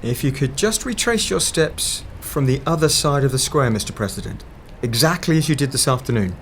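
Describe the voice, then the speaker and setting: A man playing a curt, grave, mysterious time investigator who explains deliberately in an audio drama. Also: mid-range voice, lively intonation, slight background noise, close to an everyday voice. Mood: controlled. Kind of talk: fan-made audio drama acting.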